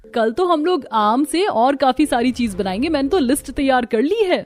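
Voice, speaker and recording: A woman voicing a chatty, somewhat pert young daughter-in-law from the city in an animated story. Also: high voice, moderate pace. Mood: cheerful. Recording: music under the voice.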